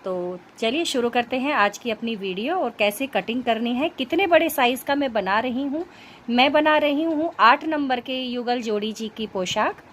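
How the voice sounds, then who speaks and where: A high-voiced woman speaks with a sore throat. She explains things step by step in a casual tutorial manner, as the host of a craft video. Faint noise sits behind her voice in the recording. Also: moderate pace, fairly bright tone. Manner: explanatory, instructional.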